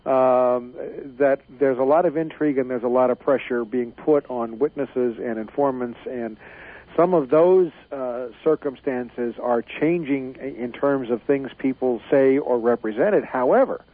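A man's voice: mid-range voice, a little slow. Man talking on a tinny phone line. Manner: confident, rambling with fillers. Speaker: guest, newsletter editor. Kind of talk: radio interview.

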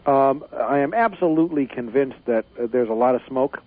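A man's voice: mid-range voice, expressive, moderate pace, dry recording. A man being interviewed as a guest on a radio show, confident and rambling with fillers. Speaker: guest, newsletter editor. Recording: tinny phone line on radio.

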